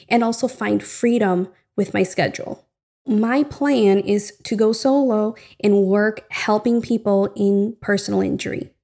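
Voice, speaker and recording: A woman from Ecuador, an attorney introducing herself as a guest in an earnest, measured way. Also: mid-range voice, mellow. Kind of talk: self-introduction.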